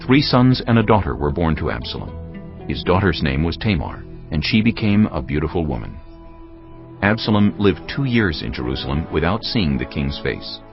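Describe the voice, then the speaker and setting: A man narrating scripture from a script in a measured storytelling manner. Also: very deep voice, sing-song, vocal fry. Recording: slightly muffled, music underneath. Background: background music.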